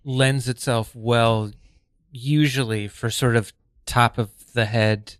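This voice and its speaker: A man's voice: mid-range voice, fairly even intonation, takes it slowly, clean tone, no echo, studio quality. A man talking casually as an improv teacher, hedging his opinions in a tentative way. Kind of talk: casual discussion.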